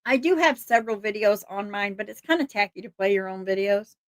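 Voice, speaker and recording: A woman hosting a livestream, reading missing persons flyers aloud and chatting with her viewers, her voice a bit hoarse, laughing often and restarting words. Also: mid-range voice, lively intonation, brisk, dry recording, clean studio sound.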